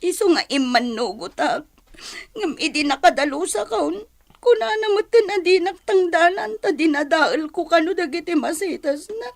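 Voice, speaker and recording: Timid poor ilocano filipino wife, high creaky voice, pleading, radio drama. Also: female voice, animated, moderate pace, full character voice. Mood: tearful complaint. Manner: pleading, plaintive. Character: meek, downtrodden poor wife, timid and wounded.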